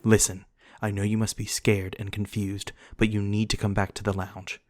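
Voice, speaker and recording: Low-voiced, genteel male vampire acted by a man. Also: little pitch movement, background noise, lightly acted. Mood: urgent, pleading. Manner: formal, courteous, measured wording. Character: reclusive genteel male vampire lord, protective and calm. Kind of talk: ASMR roleplay acting, addressing the listener.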